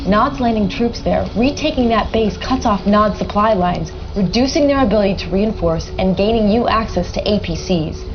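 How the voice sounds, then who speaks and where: Brisk female military officer, played by an actress. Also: medium pitch, lively intonation, noisy background, close to an everyday voice. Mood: urgent, businesslike. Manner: brisk, formal. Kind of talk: dramatic mission briefing. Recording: dull game audio with music.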